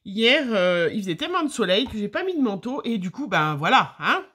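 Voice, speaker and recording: A woman's voice: mid-range voice, very animated, fairly quick, a bit bright, husky. A middle-aged French woman with a slight cold, chatting casually and rambling with many fillers as she opens her mail for her viewers. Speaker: scrapbooking vlogger. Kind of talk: casual chat, opening mail on camera.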